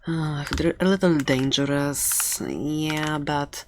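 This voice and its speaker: Woman doing a chatty fantasy egg seller, really deep for a lady, soft asmr roleplay, playful n rambly, bit of hiss. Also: steady tone, slow pace. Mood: reassuring, playful. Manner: playful, hesitant, rambling salesmanship, lapsing into whispers. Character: friendly chatty fantasy shopkeeper selling dragon eggs.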